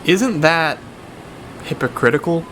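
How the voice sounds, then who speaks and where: A man's voice: on the high side, expressive, a little slow, fairly bright tone, reverberant, long reverb tail, slight background noise. A man playing a combative young debater who is smitten with his rival in a radio drama. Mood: teasing, exasperated.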